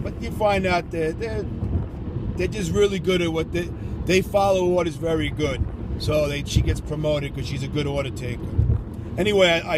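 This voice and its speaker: A man's voice: sits high, background noise. A man giving child support advice in a casual, rambling monologue, combative in tone and full of "you know". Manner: combative, repeats himself, heavy with "you know".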